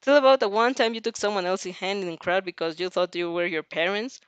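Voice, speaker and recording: A woman's voice: mid-range voice, steady tone, fairly quick, smooth, no room sound. A woman reading a fan story aloud informally, giggly and stumbling over lines as she reacts to it. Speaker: narrator and reactor of fan fiction.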